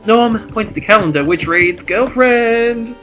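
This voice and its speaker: A man's voice: fairly high pitch, moderate pace, narrow, tinny audio, faint music behind. A jovial, chuckling man, switching between casual chat and reading out script narration. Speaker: co-host and script reader.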